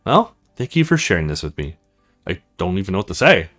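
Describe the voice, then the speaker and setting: A man's voice: sits low, very animated, brisk, fairly bright tone, soft background music, voice pushed down. A man voicing an earnest young man far from home in a visual novel, candid and polite. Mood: apologetic.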